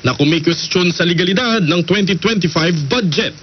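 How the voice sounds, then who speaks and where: High-voiced male newsreader on muffled radio. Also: moderate pace, background noise. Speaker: radio news anchor. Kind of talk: scripted headline reading. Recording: dull AM radio, music behind.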